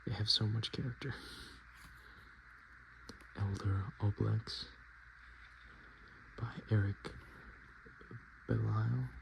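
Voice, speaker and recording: A man speaking softly and in whispers, chatting casually as an ASMR host with an unhurried, self-deprecating manner. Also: fairly low pitch, fairly even intonation, creaky, noisy background. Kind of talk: soft-spoken and whispered ASMR, casual chat.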